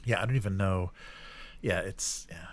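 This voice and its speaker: Low-pitched man chatting casually on a stream. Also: normal speed. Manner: hesitant, rambling, trailing off mid-sentence. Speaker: live stream host. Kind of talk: casual live stream chat.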